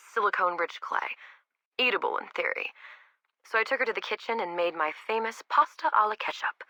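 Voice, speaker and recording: An actress playing a wry, candid research scientist recording conversational audio logs for a video game, sardonic, informal and chatty. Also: female voice, mid-range voice, bright, forceful tone, no room sound. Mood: warm, reflective.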